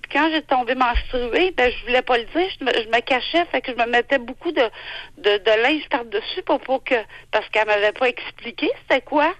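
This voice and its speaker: Québécoise lady in her 40s calling in, higher voice, stumbles and repeats herself, dry close sound. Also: fairly bright tone, dry recording, low-quality recording. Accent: Québécois French. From Quebec. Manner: halting, repeats herself, restarts often. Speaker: caller. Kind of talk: phone-in call.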